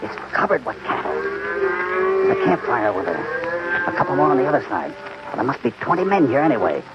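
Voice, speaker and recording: A man's voice: a little high, huge pitch swings, normal speed, creaky, heavily acted. A man playing a shrewd, decisive cowboy hero with an American accent and a clipped, commanding manner in a radio western. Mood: wary. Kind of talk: old-time radio western acting. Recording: noisy, muffled vintage radio recording.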